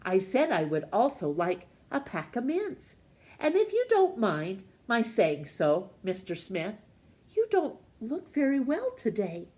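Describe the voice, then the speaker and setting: A woman voicing a lonely, courteous storybook gentleman who speaks with polite, earnest wonder. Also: medium pitch, wildly swooping pitch, a little slow, voice pushed up. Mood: polite, friendly. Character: shy, lonely man turning cheerful and courteous. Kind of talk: storybook character voice.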